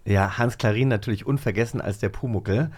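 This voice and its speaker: A man's voice: on the low side, fairly quick, slightly rough. A man in his forties chatting casually and enthusiastically as a podcast co-host. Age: 40s.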